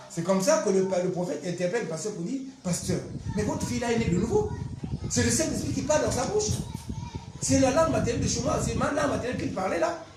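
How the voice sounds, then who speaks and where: High-voiced pastor, roomy echo. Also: male voice, darkish tone. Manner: emphatic, repetitive storytelling. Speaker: pastor giving testimony. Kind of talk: animated testimony and Bible teaching. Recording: roomy echo, faint music behind.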